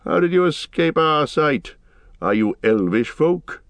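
A man's voice: on the high side, sing-song, unhurried, dry recording, voice pushed up. A stern, haughty horse-lord acted out by a male reader from a fantasy audiobook. He speaks in formal, archaic phrases with a challenging, suspicious edge. The sound is dry and close. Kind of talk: dramatic audiobook fantasy reading.

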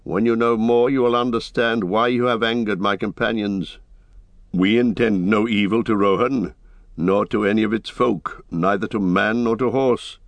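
A man voicing a noble, resolute ranger and royal heir in a fantasy audiobook, with measured, lofty, commanding speech. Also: fairly low pitch, steady tone, no room sound, pitched above the performer's own voice, close to an everyday voice. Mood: placating, firm. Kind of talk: dramatic audiobook fantasy reading.

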